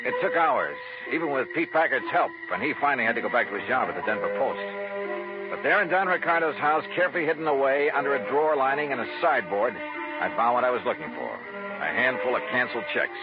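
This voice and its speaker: Wry, tough investigator played by a man, animated old-time radio narration, dull band-limited sound. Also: mid-range voice, fast talker, close to an everyday voice. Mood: tense, narrating. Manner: wry, clipped, tough-guy delivery. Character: hardboiled male insurance investigator, wry, tough, self-assured. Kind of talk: 1950s radio drama acting and first-person narration. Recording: muffled vintage radio recording, music behind.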